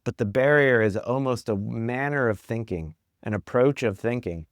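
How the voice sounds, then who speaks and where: A man with a smooth, resonant voice talks casually as an interview guest. He explains things conversationally and slips into quoted remarks to illustrate them. The recording is close and dry. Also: mid-range voice, normal speed, no echo. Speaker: podcast guest, product executive.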